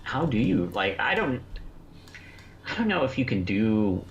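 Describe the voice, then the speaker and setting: A man co-hosting a casual review discussion, thinking aloud in a hesitant, halting way. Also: medium pitch, big pitch swings. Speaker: podcast co-host. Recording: dull lo-fi sound, faint background noise.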